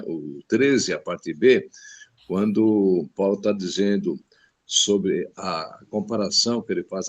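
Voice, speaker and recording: Gravelly low-voiced pastor. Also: male voice. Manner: earnest, stresses importance. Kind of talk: exhortation in group discussion.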